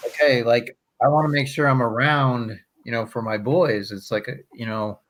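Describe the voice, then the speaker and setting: A man's voice: medium pitch, steady tone, moderate pace, a bit bright, a little hiss or hum. A man who just turned fifty chatting in a group call, telling things in a loose, rambling way full of "like" and "you know". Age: 50s. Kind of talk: casual group chat.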